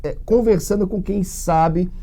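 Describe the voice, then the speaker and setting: A man's voice: high-pitched, unhurried, warm tone. A Brazilian man hosting a casual live interview, talking in an informal, effusive way. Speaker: live show host.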